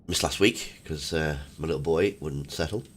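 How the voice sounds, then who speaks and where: A man hosting a casual gaming live stream, his voice croaky from a cold, talking in a laid-back, halting way. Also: rumbling low, a little hiss or hum.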